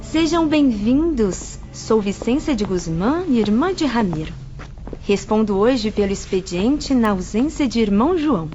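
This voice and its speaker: Prominent music under muffled audio of a Brazilian woman acting a delicate young nun in an afterlife drama. Her voice is fairly high, her manner kind and guiding. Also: big pitch swings, a little slow, mellow. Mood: welcoming. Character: kind, delicate young female religious spirit guiding visitors.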